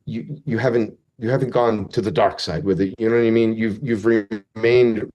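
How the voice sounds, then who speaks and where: A man's voice: lowish voice, fairly even intonation, normal speed, a little mellow, highs cut off. A man speaking as an interview guest, rambling and heartfelt, sometimes poking fun at himself. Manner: rambling, heartfelt, self-deprecating. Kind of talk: casual interview chat.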